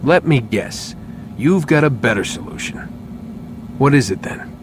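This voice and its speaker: An actor playing a sarcastic, defiant captive in a video game, with a dry, flippant way of talking. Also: male voice, medium pitch, expressive, measured pace, natural voice. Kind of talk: video game cutscene acting. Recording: clear ambient hum and noise.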